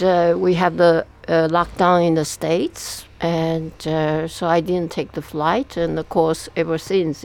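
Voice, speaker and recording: A woman from Taiwan speaking as an interview guest in casual conversation, with halting, pause-filled non-native English. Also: lowish voice, vocal fry. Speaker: interview guest, media artist.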